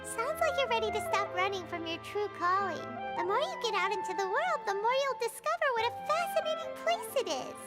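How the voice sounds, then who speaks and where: A very high, bouncy, encouraging girl in a game, quick and lively, big music underneath. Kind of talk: video game voice acting.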